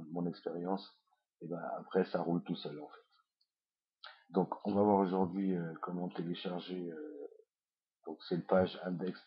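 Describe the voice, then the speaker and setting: A man's voice: sits low, husky, dull audio. A man with a head cold, giving a hesitant screencast tutorial as an online course instructor, with many fillers.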